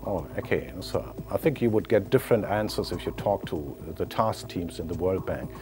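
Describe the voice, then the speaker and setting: A man's voice: low voice, animated, normal speed, music in the background. A man giving unscripted expert answers as a quiz contestant, hesitant and often inserting "you know, so" as he explains.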